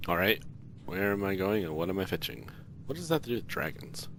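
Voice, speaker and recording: A man's voice: low voice, very animated, fast, fairly bright tone, a little hiss or hum. A man doing a casual let's play, reading dialogue options and adding dry, sarcastic asides. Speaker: let's player.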